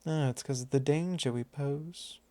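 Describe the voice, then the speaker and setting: Guy doing a possessive vampire lord asmr, soft mellow voice, super dry close sound, teasing and formal, drawly. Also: fairly high pitch, normal speed, no room sound, close to an everyday voice. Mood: curious, musing. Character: possessive male vampire lord, teasing, calmly probing his thrall. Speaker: ASMR roleplay performer. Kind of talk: ASMR roleplay, intimate and unhurried.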